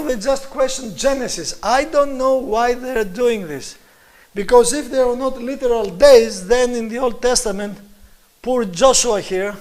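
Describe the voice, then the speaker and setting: Man, Greek accent, high pitch, scripture lecture, emphatic repetition, non-native halting delivery. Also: rough recording. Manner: emphatic, repeats key words, halting English. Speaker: Bible teacher giving a presentation.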